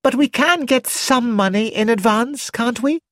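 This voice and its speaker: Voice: mid-range voice, measured pace, fairly bright tone, no echo, voice pushed up, natural voice. A narrator voicing a flighty, childish young actress who exclaims eagerly about money, read in a close, dry studio recording.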